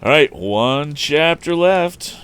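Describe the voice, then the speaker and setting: A man reading an adventure story aloud in an expressive storyteller's style. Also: on the high side, slow, clean tone. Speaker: storyteller, livestream host.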